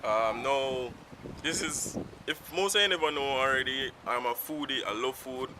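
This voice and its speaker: A man's voice: mid-range voice, normal speed. A man with a Jamaican accent, whose first language is Patois, chatting unscripted to camera outdoors in a rambling, free-flowing way. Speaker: vlogger. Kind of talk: casual talk to camera. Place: outdoors in town, in the sun. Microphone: self-filmed camera. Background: faint street traffic.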